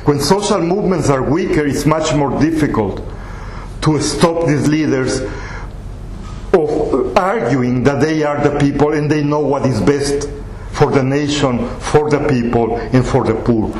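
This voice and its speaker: A man giving a guest lecture, explaining in a measured way with slightly non-native phrasing, then answering questions from the audience. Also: a little high, a little mellow. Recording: echoey hall, faint room noise.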